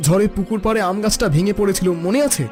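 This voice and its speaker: A man's voice: high-pitched, steady tone, fast talker, darkish tone, soft background music, lightly acted. A man playing a worried younger brother and troubled husband in a cartoon drama, anxious and plaintive. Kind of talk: cartoon family drama acting.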